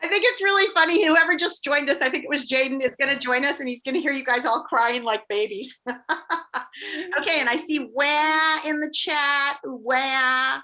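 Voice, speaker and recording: A woman's voice: high-pitched, a little fast, pushed and bright. A woman teacher casually leading a class game over a video call, playfully prompting and encouraging children. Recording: noticeable background noise on video call.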